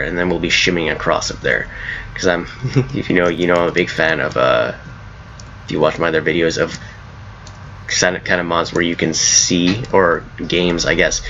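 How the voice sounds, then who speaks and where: A man with a very low, hoarse voice, since he's coming down with a cold, talks through his game mod in a loose, rambling way. His tone is bright and forceful despite the depth. The home recording sounds a little dull, with a faint hiss underneath. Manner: rambling, self-correcting, laughs at his own ideas. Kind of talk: casual gameplay commentary.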